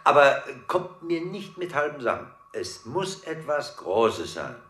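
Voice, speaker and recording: An actor playing a bitter, domineering boss on a telescreen in a German radio drama, curt and demanding. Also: male voice, on the high side, sing-song, measured pace, a bit bright, reverberant. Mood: domineering, impatient. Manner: curt, demanding, tight-lipped.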